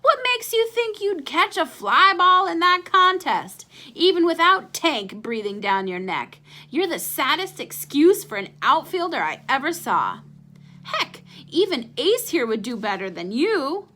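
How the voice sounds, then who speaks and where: A woman's voice: high voice, sing-song, unhurried, bright, forceful tone, pitched above the performer's own voice, full character voice. A woman voicing a sneering, threatening boy bully who brags and taunts in a children's storybook. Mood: mocking. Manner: taunting, jeering. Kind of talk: children's storybook read-aloud character.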